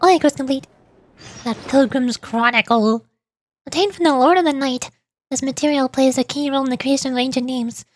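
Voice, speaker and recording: A woman's voice: high-pitched, fairly quick, no echo. A woman livestreaming a game, reading its text aloud and muttering her own reactions, with game music behind her. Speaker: streamer.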